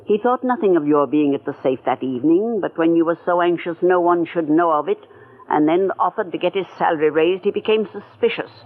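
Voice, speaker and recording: An actor playing a stern older aunt who calmly confronts her nephew, in a tinny old radio recording. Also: on the high side, fairly quick, lightly acted. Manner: calm and accusing. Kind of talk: 1940s radio acting.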